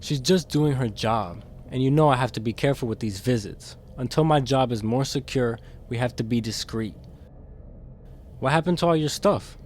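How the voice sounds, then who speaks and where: A man's voice: mid-range voice, moderate pace, a little mellow, slight background noise, natural voice. A man playing a guarded, conscience-stricken demon in an audio drama, earnest and defensive in manner. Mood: guarded, wary. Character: guarded, conscience-stricken demon insider smuggling secrets to captive.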